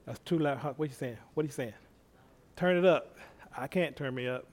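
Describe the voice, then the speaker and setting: A middle-aged man preaching a casual, folksy sermon, soft-spoken at rest and building to excited calls of praise. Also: medium pitch, a little fast. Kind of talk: conversational sermon.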